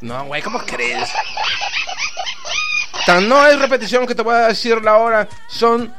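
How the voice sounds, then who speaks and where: A Mexican man hosting a live online radio show, rambling through shout-outs and jokes in a chatty, loose way. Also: very high voice, lively intonation, measured pace. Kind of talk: casual live radio chat with greetings. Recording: faint music in the background.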